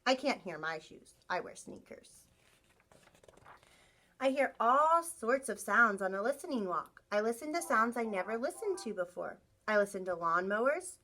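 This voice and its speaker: A woman reads a children's picture book aloud like a teacher with young listeners. Her voice is bright and fairly high, with very lively swings in pitch. She tells it expressively and plays up the sound words. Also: moderate pace. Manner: animated, acts out sound effects, asks listeners questions. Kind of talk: expressive read-aloud storytelling.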